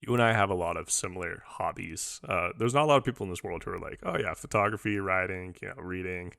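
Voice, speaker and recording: Man, podcast host, deep gravelly voice, informal and rambling, casual interview chat. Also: sharp tone, no echo, clean studio sound. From born in England, moved to the US as a child. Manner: informal, rambling, halting, says "dude" and "like" a lot.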